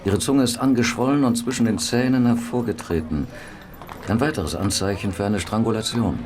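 An actor playing a dry, unflappable Victorian police doctor in a radio play, matter-of-fact and clinical. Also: male voice, fairly low pitch, moderate pace, noisy background, natural voice. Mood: clinical, absorbed. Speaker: actor in an audio drama. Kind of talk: German radio play acting.

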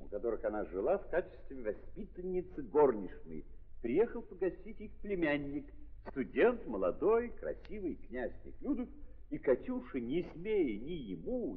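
A man narrating as a grave, ironic storyteller in a theatrical 1930s stage style, with emphatic dramatic pauses. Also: mid-range voice, close to an everyday voice. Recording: muffled 1930s recording, long reverb.

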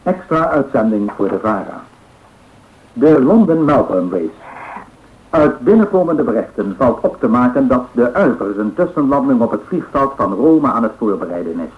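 A male news announcer from the 1930s, played by an actor, reads a formal scripted bulletin at a middling pitch. The sound is narrow and tinny, like an old radio set. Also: sing-song, moderate pace, lightly acted. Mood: formal, factual.

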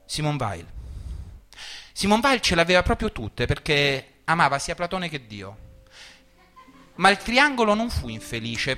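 A man delivers a theatrical, ironic monologue. His voice is sharp and forceful, set a little high, with a wry deadpan delivery. Music plays behind him. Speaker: stage performer.

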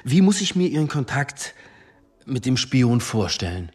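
A police boss in a radio play, husky mid voice, dry clipped questions, sceptical, cool authority. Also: male voice, mid-range voice, big pitch swings, measured pace, darkish tone. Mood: sceptical, reproving. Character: sceptical, probing senior police chief, coolly authoritative. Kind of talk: German radio drama dialogue.

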